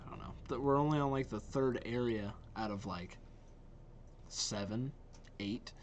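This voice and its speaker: A man's voice: mid-range voice, measured pace, muffled recording, a little hiss or hum. A man giving casual reaction commentary as a host, thinking aloud as he goes.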